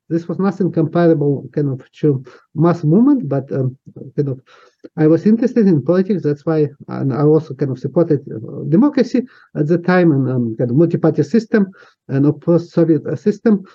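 A man's voice: on the high side, mellow, husky. A man in his fifties from Ukraine with a Ukrainian accent, speaking English as a second language and recounting his past hesitantly, full of fillers and restarts. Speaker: interviewee. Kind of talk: recounting personal history. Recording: dull lo-fi sound.

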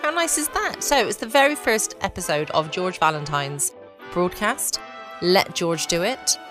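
A woman's voice: on the low side, expressive, unhurried, music in the background. A woman, chatting as host of a late-night bedtime podcast. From United Kingdom.

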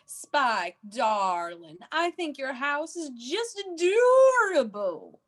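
Very slow, high, gushing socialite date played by a woman. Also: sing-song, a bit bright, full character voice. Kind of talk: comedic radio play acting.

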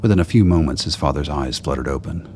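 A man's voice: rumbling low, fast, a little mellow. A man narrating a scripted radio drama. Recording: clear background noise.

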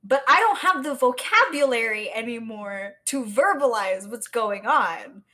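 An American woman from South Florida chatting casually as a podcast co-host, telling stories in an animated way. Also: a little high, unhurried. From South Florida, United States. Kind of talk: casual conversational chat.

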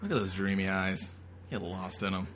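A man's voice: low-pitched, normal speed, noisy background. A man co-hosting a casual comic riffing commentary, talking in a crude, slangy, mocking way.

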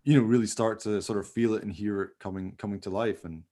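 A man's voice: lowish voice, fairly even intonation, quick pace, dark tone. A man chatting casually and enthusiastically as a podcast host about his creative work, in a rambling way. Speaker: podcast host and amateur radio play producer. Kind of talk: casual chat about making a radio play.

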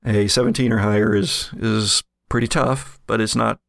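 Male game master for a horror tabletop podcast: deeply creaky voice, deliberate scene-setter, dry recording. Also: steady tone, fairly bright tone, no room sound. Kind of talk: narrating a tabletop horror game, with casual chat.

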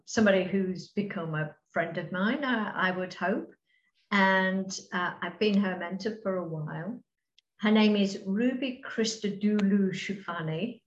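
A woman's voice: mid-range voice, unhurried. A woman hosting a podcast interview in a chatty, friendly way. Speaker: podcast host and mentor. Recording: roomy, dull lo-fi sound.

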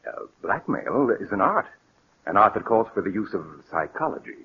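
A man's voice: lowish voice, very animated, normal speed, creaky, slightly rough, exaggerated voice. A man playing a suave, calculating blackmailer in a 1940s radio thriller, courteous on the surface and coldly bullying beneath. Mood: smug, lecturing. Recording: old tinny band-limited radio recording.

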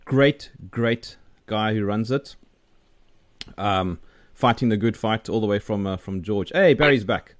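A man's voice: lowish voice, measured pace, no room sound. Casual South African man hosting. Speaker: show host interviewer. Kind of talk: casual video-call interview chat. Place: remote video call.

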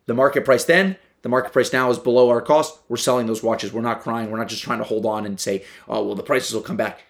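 A man's voice: medium pitch, fairly even intonation, quick pace. A man hosting a podcast, talking casually with lots of fillers and tag questions. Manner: loose, filler-heavy, tag questions like "right?". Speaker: podcast host. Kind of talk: casual conversation.

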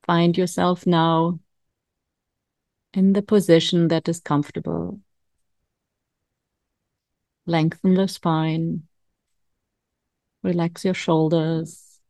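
Female meditation guide, lowish and very unhurried, calm and soothing, leaving long pauses, close dry sound. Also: creaky, dry recording. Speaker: meditation coach.

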